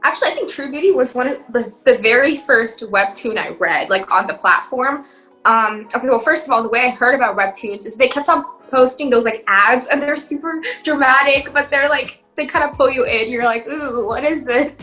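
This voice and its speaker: A young woman with a bright, fairly high voice chats casually as a podcast co-host. She tells her stories in an animated, relatable way, with soft music underneath. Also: normal speed, low-quality recording. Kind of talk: casual chat among friends.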